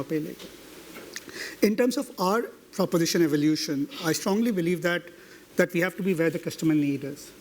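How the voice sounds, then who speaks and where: Higher-pitched man explaining confidently. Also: a little mellow, slight background noise. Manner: confident, explanatory, structured points. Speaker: banking executive, panelist. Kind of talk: conference panel talk. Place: conference stage.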